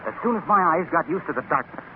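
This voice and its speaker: A genial, polished announcer, played by a man spins his words like a storyteller with something to sell. His voice is fairly high, on a tinny, noisy vintage radio recording. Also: expressive, rapid-fire, close to an everyday voice. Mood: storytelling. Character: genial announcer spinning a tale into a sales pitch.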